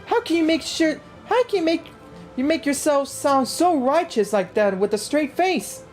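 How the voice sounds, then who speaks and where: A woman voicing an earnest, excitable game companion who argues emphatically on the hero's side. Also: high-pitched, moderate pace, faint music behind, voice pushed up. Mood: indignant.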